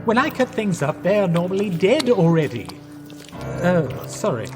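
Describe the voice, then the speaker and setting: A dithering young English gentleman of the 1920s, hesitant and politely squeamish. His English-accented voice jumps about in pitch, in radio-drama style. It rings in a long reverb over music. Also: sing-song, moderate pace.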